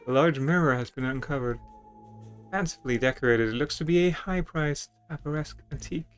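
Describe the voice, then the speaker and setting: A man's voice: a little high, a little fast, smooth. A man reading a story game's text aloud in a dramatic style, with casual asides and music behind him.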